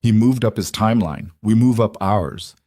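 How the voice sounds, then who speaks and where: A man's voice: fairly low pitch, normal speed, warm tone. A man voicing a brave, composed young waitress in an audiobook, speaking in terse, controlled, firm lines. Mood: firm, resolute. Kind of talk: audiobook character dialogue.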